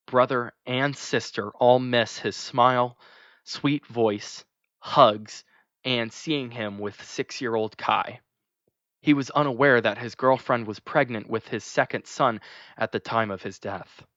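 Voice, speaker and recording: A man reading a scripted memorial narration in a measured, gentle way. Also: mid-range voice, dry recording. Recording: dull, lo-fi dry recording.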